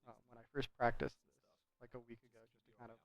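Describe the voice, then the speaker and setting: A man's voice: mid-range voice, little pitch movement, quick pace, dry recording. A man giving casual, rambling commentary over a video game in a conversational, hedging way. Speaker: gameplay commentator.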